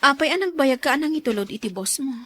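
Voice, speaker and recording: A woman's voice: sits high, expressive, fast, bright, forceful tone. A woman with an Ilocano accent acting a suspicious, hurt young wife in a radio drama. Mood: probing. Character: suspicious, hurt young wife, quietly probing then tearful.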